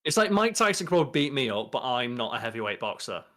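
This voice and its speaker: Casting: man, thirties, mid-pitched voice, casual podcast co-host, blunt and sweary. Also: sing-song, quick pace, over a noisy crowd. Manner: opinionated, sweary, mock-quoting. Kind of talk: casual chat. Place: home, at a desk indoors.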